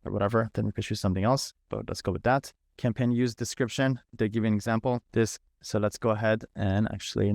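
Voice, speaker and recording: A man's voice: fairly low pitch, gravelly, darkish tone, no echo, clean studio sound. A man leading a live online training, talking informally through setup steps, repeating himself and thinking aloud. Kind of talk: casual live screen-share walkthrough. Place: live online video call.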